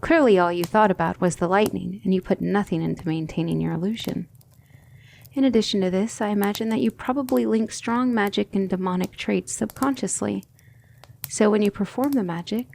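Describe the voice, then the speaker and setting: A woman acting a gentle, firm demon tutor, noisy recording. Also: medium pitch, gravelly, lightly acted. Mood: patient, probing. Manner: soothing, measured, formal, sometimes whispery. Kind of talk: ASMR roleplay.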